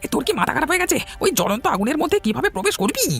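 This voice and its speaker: An actor voicing a panicked, frantic bystander with an urgent, shrill delivery in an animated cartoon. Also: racing, heavily acted. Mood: alarmed. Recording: music behind the voice. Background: music.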